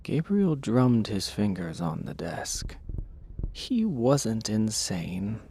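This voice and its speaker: A man narrating an audio drama with a gravelly, husky voice and a wry, dramatic delivery. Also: fairly low pitch, wildly swooping pitch, takes it slowly, mellow, slight background noise. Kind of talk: scripted audio drama storytelling.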